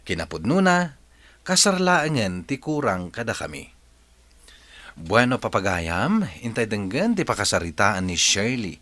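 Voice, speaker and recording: Slow, low Ilocano radio narrator, man. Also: big pitch swings, pushed and bright. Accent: Filipino, Ilocano. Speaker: programme narrator and host. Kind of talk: scripted radio drama introduction.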